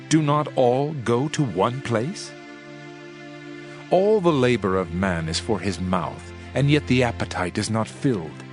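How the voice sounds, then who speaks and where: An actor playing a solemn, reflective preacher of ancient wisdom, reading scripture in a measured, declamatory way for a dramatized audio Bible. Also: male voice, mid-range voice. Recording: music under the voice. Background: background music.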